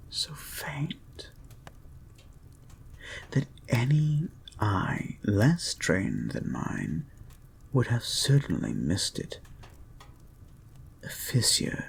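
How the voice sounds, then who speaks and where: A man playing a creepy, obsessive hypnotist therapist in an audio drama, murmuring calm, controlling guided meditation in a soft breath that often drops to a whisper. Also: fairly low pitch, gravelly, a little hiss or hum, full character voice. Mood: quietly fascinated.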